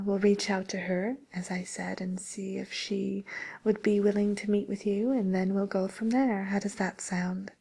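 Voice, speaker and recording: A woman playing a polite, tactful matchmaker for villains in an ASMR roleplay, with a soft, whispery voice and hesitant, courteous service patter. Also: mid-range voice, creaky, slightly rough, full character voice.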